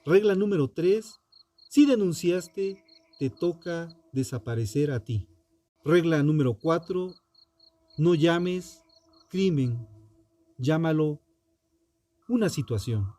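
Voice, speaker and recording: A man narrating a scripted true crime story with dramatic, deliberate phrasing and pointed pauses. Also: fairly high pitch, expressive, mellow. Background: music under the voice.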